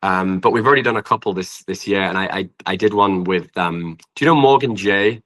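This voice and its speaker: Young british guy with a really deep but bright voice, gushing casually in a podcast interview, lots of likes. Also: fairly quick. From Britain. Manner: effusive, informal, hesitant phrasing with frequent "like". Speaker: musician, interview guest.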